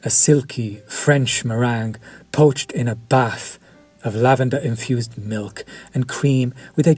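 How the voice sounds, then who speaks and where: An actor playing a courteous, quietly predatory vampire chef in an audio roleplay, polished, formal and flirtatious. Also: male voice, mid-range voice, breathy edge, dry recording. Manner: polished, formal and flirtatious, lingering over words.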